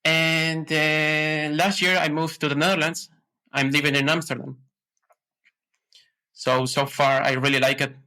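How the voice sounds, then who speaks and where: A man's voice: fairly high pitch, flat delivery, a little slow, pauses often, pushed and bright. A man from Ecuador, answering podcast questions in a hesitant way, with many fillers and restarts. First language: Spanish. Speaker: podcast guest. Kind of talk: casual interview answers.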